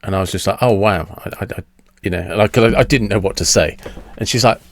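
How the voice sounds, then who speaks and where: Low-voiced rambling man in his fifties. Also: brisk, slight background noise. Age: 50s. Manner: rambling, repeats words and restarts often. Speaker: podcast host. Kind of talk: casual conversational interview.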